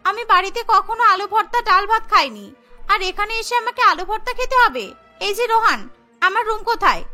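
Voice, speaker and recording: A very high, bright voice of a spoiled young bride in a cartoon. She is whiny and demanding, quick to take offence, with music running underneath. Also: animated, full character voice. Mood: indignant. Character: spoiled, indignant young bride, newly married. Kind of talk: Bengali cartoon voice acting.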